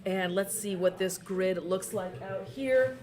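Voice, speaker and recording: A woman's voice: medium pitch, slow, darkish tone. A woman hosting a casual behind-the-scenes broadcast from a race control tower, talking in an upbeat, chatty way and laughing often.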